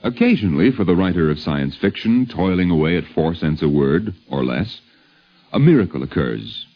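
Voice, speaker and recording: A man's voice: deep voice, lively intonation, moderate pace. An American man narrating a scripted 1950s radio documentary as host, with a wry, literate delivery on an old radio recording. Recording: dull lo-fi vintage radio recording.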